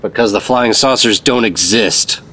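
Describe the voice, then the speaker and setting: An actor playing a world-weary, hard-boiled government special agent from the 1950s, in clipped noir radio drama style. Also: male voice, fairly low pitch, measured pace, heavily acted. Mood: dry, dismissive. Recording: loud music bed under voice.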